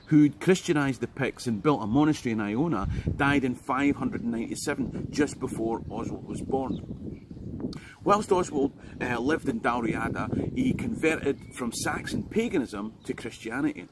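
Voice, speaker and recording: A Scottish man and history tour guide, giving an informal, jokey history talk to the viewer. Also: medium pitch. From Scotland. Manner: jokey and conversational, with asides to the viewer. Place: outdoors on a tidal island. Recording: loud background noise.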